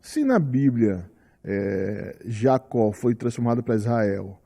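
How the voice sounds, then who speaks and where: A man in his forties from Salvador with a Bahian accent, telling stories of his life on a podcast with lively acted-out quotes. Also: medium pitch, takes it slowly. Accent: Bahian Brazilian Portuguese. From Salvador, Bahia, Brazil. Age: 40s. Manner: animated storyteller, acts out quotes. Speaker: musician guest. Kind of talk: casual podcast storytelling.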